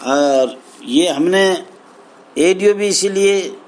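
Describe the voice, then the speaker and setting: A man giving an informal grievance speech to members as an association spokesman, in halting, repetitive phrases with emphatic appeals. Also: high-pitched, a little mellow. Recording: clear background noise, amateur recording.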